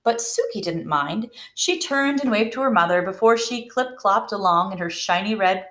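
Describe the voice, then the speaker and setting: A woman reading a children's picture book aloud in a gentle, unhurried way. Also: mid-range voice, animated. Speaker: storybook reader. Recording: echoey room.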